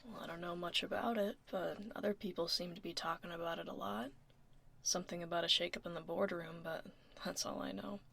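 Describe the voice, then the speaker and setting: Female dragon office lady, played by an actress, ASMR roleplay. Lowish voice, heavy fry, casual dry delivery, blunt yet caring. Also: steady tone, fairly quick, heavily acted. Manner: casual, dry, low-key, softly spoken.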